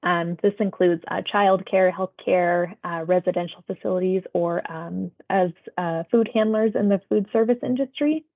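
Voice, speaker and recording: A woman's voice: fairly low pitch, fairly even intonation, moderate pace, few pauses. A woman working as a public health phone interviewer, asking survey questions in a polite, procedural, conversational way. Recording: tinny phone-like audio.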